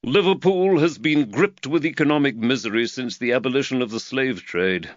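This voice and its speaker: Guy doing a preachy old-school magistrate, pitched up, all animated, dull dry sound. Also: moderate pace, dry recording, close to an everyday voice. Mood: rueful. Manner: lively, preachy, warms to his theme. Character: gruff middle-aged male London magistrate, earnest.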